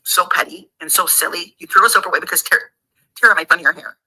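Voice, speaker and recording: Husky woman in a bitter rant. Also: fairly low pitch, very fast, pushed and bright, rough recording. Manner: bitter, sarcastic, profane. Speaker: livestreamer in a played clip. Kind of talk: ranting livestream monologue.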